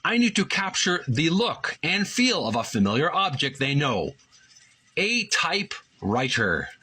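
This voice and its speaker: A man's voice: on the high side, sing-song, measured pace, pushed and bright, slightly rough. A man hosting his own channel, recovering from a cold and casually reading a book aloud, rambling with many fillers. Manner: rambling, filler-heavy, self-deprecating. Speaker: host.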